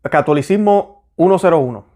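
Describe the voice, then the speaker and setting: A man's voice: on the high side, expressive, fairly quick, dark tone. Earnest man hosting a faith show. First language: Spanish. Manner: earnest, conversational, with many fillers. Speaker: host. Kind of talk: religious commentary and prayer.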